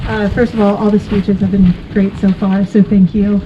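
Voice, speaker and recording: A young woman giving an earnest, halting tribute at an outdoor memorial by a lake. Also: mid-range voice, flat delivery. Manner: earnest, halting, filler-heavy. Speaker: vigil attendee. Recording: dull audio with wind and boat noise.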